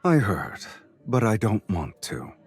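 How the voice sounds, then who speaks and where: Deep-voiced, teasing suave man acting a romantic lead. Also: very animated, soft background music, exaggerated voice. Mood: weary, aloof. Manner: teasing, unhurried. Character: suave, amused, unhurried male romantic lead. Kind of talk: audio drama acting.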